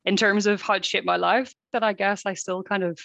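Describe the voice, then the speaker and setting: A woman's voice: mid-range voice, fairly even intonation, fairly quick, creaky, a bit bright, no echo. A woman from Ireland, a civil engineer, chatting enthusiastically as a podcast guest with lots of filler words. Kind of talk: casual chat.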